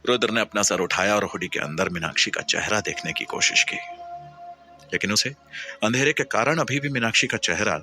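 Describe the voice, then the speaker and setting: A man's voice: fairly low pitch, fairly quick, music in the background. A man narrating a scripted audio story in a dramatic, suspenseful, emphatic way.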